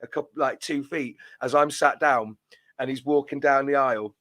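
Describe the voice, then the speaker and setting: A man's voice: mid-range voice, moderate pace, a bit bright, dry recording. A man giving post-match reaction as a fan channel co-host, rambling and trailing off into a mutter at the ends of his phrases.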